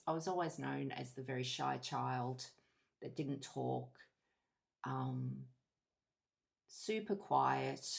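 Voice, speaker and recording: A woman in her fifties with an Australian accent, giving a candid, reflective personal monologue in measured short phrases. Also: extremely low pitch, gravelly. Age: 50s. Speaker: podcast host.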